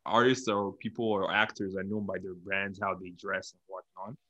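A man's voice: deep voice, level tone, moderate pace, bright, forceful tone, muffled recording. A young man from Nigeria, telling his story casually as an interview guest.

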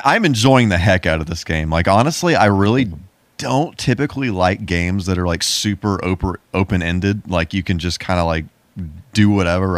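A man's voice: fairly low pitch, no echo. A man in a casual, rambling and enthusiastic chat about video games, as a podcast co-host.

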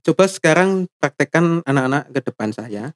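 A man's voice: fairly high pitch, expressive, moderate pace. A man co-hosting a casual chat podcast, telling stories playfully and laughing often, from Java. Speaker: podcast co-host. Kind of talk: casual banter.

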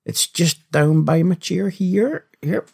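A man hosting a podcast with scripted comedic narration, husky in voice and playful, punning and theatrical in delivery. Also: a little high, slow pace, mellow. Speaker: podcast host. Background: faint music.

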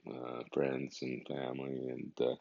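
A man's voice: extremely low pitch, completely flat delivery, measured pace, vocal fry, mellow. A man in his fifties hosting his own podcast, talking in a meandering, conversational way. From Canada. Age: 50s. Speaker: podcast host. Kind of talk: casual podcast monologue. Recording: dull lo-fi recording.

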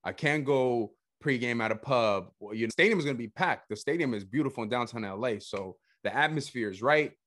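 A young man from El Salvador hosting a casual podcast chat, energetic and saying "bro" a lot. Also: mid-range voice, fairly quick, hardly any pauses, dry recording, clean studio sound. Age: young adult. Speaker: podcast host.

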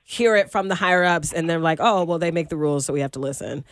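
A woman's voice: lowish voice, fast talker, no room sound, studio quality. A woman hosting a radio interview, talking casually and chattily with many fillers. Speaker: radio host. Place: radio studio.

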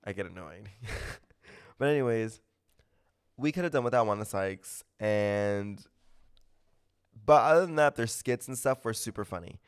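A man's voice: fairly low pitch, animated, measured pace, long pauses, clean tone. A man hosting a podcast in a casual, gossipy chat, talking with a stuffy nose and gushing, opinionated comments. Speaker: podcast host.

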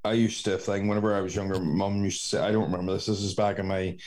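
Low-voiced Northern Irish man chatting. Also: flat delivery, fast, darkish tone. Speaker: podcast host. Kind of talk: casual chat, telling childhood anecdotes.

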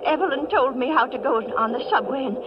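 A woman's voice: sits high, quick pace, a loud crowd, close to an everyday voice. An actress playing a sweet, polite, flustered older woman from out of town in a 1940s radio drama, fretful and apologetic. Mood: fretful, grateful. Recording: muffled, noisy old radio recording.

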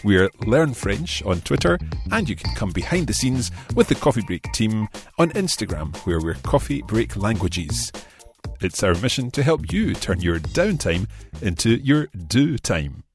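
A man hosting a language lesson, explaining in a deliberate way and repeating phrases word by word. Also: fairly low pitch, sing-song, soft background music. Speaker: language teacher and podcast host.

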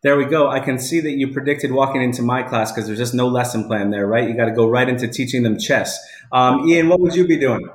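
A man's voice: medium pitch, fairly even intonation, a little fast. A man hosting a casual live online talk show from home, chatting with guests. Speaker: live chat show host. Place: indoors at home. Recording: roomy home echo.

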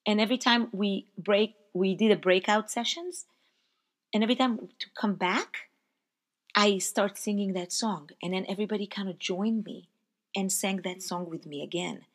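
A woman with a hoarse voice talking reflectively about leading a service, heartfelt, often dropping to a soft, quiet tone. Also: mid-range voice. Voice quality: sings slow sustained hymn lines. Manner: slow, heartfelt, softens to near-whispers. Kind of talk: reflective personal talk.

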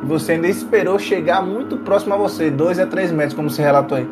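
Brazilian guy co-hosting a podcast, kinda high voice, casual reactions, music behind. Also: quick pace. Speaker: podcast co-host. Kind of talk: casual chat, reacting to a story.

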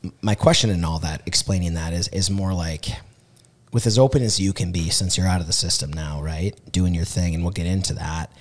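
A man hosting a podcast, hoarse from shouting, with a low raspy voice, asking long rambling questions full of restarts. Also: fairly quick. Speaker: podcast host. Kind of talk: casual interview chat.

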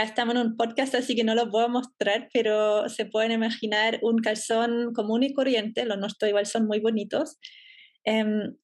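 Podcast guest: German woman, thirties, fairly high voice, easygoing and explanatory. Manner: relaxed, explanatory, laughs easily. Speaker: entrepreneur guest. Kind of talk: podcast interview.

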